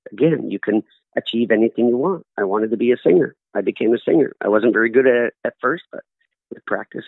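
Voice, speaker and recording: A man's voice: lowish voice, gravelly, dry recording. A middle-aged man, a guest telling stories earnestly in an interview. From Canada. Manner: earnest, storytelling with acted-out quotes. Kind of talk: conversational interview answers. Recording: dry, tinny phone-like line.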